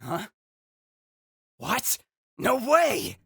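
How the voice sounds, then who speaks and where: A man voicing a gruff, brash young male ninja with a dog-like edge, yelling his lines. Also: very high voice, wildly swooping pitch, drawn-out words, no echo, clean studio sound, voice pushed up, exaggerated voice. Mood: brash battle shouts. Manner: shouting, brash.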